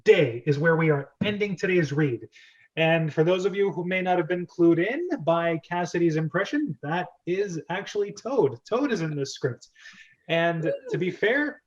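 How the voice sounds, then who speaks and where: A man with a slightly high voice leads a casual club discussion. He talks in a chatty, explanatory way. The sound is a little dull and lo-fi, like an online call. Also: animated, moderate pace. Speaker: host of a script reading club. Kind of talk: casual group discussion with read-aloud script.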